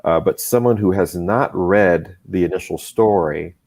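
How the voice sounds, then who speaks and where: Really low voiced guy talking shop as a guest, stumbles and restarts a lot. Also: vocal fry, darkish tone. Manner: deliberate, repeats words while searching for phrasing. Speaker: guest, comics creator. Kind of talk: casual livestream chat.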